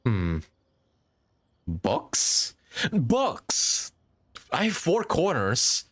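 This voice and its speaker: A male streamer reacts casually to a game. His pitch is very high for a man and swings widely, and his manner is brash, sweary and mock-indignant. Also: huge pitch swings, drawn-out words, fairly bright tone, breathy edge. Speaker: live streamer.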